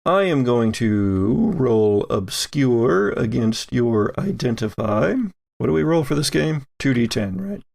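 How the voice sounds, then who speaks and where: A man narrating a tabletop roleplaying game conversationally as game master, pausing to think as he goes. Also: mid-range voice, sing-song, vocal fry, a little mellow, no room sound, clean studio sound.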